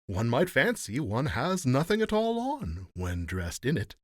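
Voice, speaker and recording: A male narrator puts on a sly con-man weaver from a storybook. He presents with showy, oily flattery. The pitch is lifted and very animated. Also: measured pace, no room sound, studio quality.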